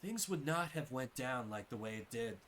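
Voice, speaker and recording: A man's voice: medium pitch, animated, moderate pace, fairly bright tone, slight background noise, natural voice. A man voicing an easygoing, blunt, loyal soldier in a fantasy game. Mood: reassuring. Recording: game music behind the voice.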